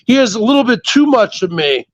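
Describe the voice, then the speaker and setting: Loud, crude man with a high voice, needling his friend in dry, close banter. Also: very animated, brisk, no echo, muffled recording. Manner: brash, profane, mocking, does mimicking voices.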